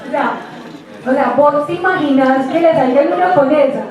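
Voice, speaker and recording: High-voiced woman lecturing in an echoey room. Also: moderate pace, a crowd in the background. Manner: lively, chatty, asks the class rhetorical questions. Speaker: university lecturer. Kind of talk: classroom lecture. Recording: echoey hall, distant mic.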